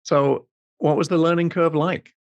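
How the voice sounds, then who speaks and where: A man's voice: fairly high pitch, fairly even intonation, normal speed, dry recording, dull audio. A man hosting an interview, asking friendly, chatty questions with small repeats and restarts. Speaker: podcast host.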